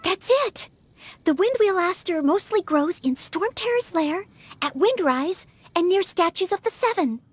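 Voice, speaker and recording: An actress playing an earnest, studious, polite young maid-knight in a video game, speaking with careful courtesy. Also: female voice, extremely high pitch, expressive, measured pace, no echo. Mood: sudden confidence. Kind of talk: video game character acting.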